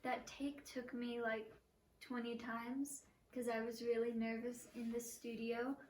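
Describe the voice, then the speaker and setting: A woman's voice: on the high side, little pitch movement, measured pace, darkish tone, recorded from a distance. Young woman, echoey and off-mic. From Portland, Oregon. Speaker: guest musician. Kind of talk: casual chat. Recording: echoey room, a bit off-mic.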